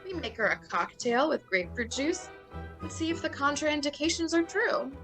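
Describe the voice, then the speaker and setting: A young woman playing a blunt, scheming sorority nursing student in a dramatic reading, emphatic and matter-of-fact about dark ideas. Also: high-pitched, expressive, normal speed, over music.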